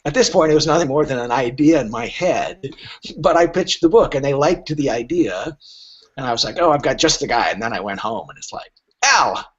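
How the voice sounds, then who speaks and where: A man's voice: mid-range voice, a little fast, breathy edge. A man speaking casually as a literary agent guest on a podcast, telling stories animatedly and acting out bits of dialogue. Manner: animated storyteller who acts out imagined dialogue. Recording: dull, lo-fi podcast audio.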